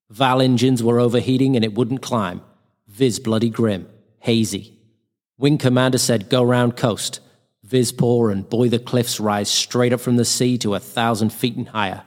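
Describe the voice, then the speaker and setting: A man's voice: mid-range voice, lightly acted. A man voicing a wry wartime airman's diary in clipped, telegraphic phrases. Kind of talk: diary reading. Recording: long hall-like reverb.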